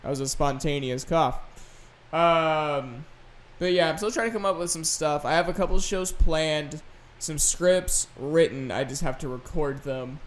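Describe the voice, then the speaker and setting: A man hosting a solo podcast, chatting casually and unscripted in a rambling, halting way. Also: fairly high pitch. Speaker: podcast host and gaming video creator.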